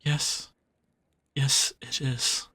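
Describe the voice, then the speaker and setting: A man's voice: drawn-out words, sharp tone. A man playing a timid, apologetic small creature in an audio drama, speaking in a hesitant stammer. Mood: nervous, pleading.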